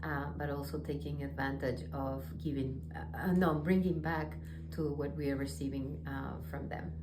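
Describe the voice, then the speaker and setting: Distant, echoey low-voiced woman from Ecuador. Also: fairly even intonation, normal speed. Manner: hesitant, many fillers and restarts. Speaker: community organizer. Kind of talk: interview answers. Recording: echoey, distant, noisy room.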